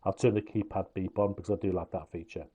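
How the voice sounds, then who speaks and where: A man's voice: sits low, fairly even intonation, fast talker, warm tone, husky, dull audio. A man explaining how a gadget works step by step, in an informal hands-on review style. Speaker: product reviewer.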